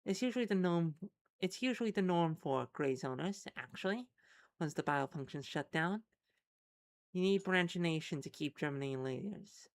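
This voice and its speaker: A woman voicing a genteel, long-winded otherworldly companion, calm and pompous, in a role-playing game. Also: fairly low pitch, normal speed, no echo, studio quality. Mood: calm, matter-of-fact. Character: genteel, wordy, philosophical otherworldly companion, blithely calm about death.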